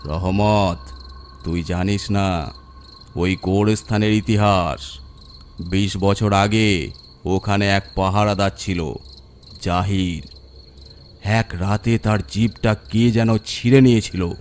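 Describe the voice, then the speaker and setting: A man's voice: on the low side, fairly even intonation, takes it slowly, pauses often, slight background noise. A man voicing an ominous, grave village elder in a horror story. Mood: mocking, ominous. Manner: grave, foreboding.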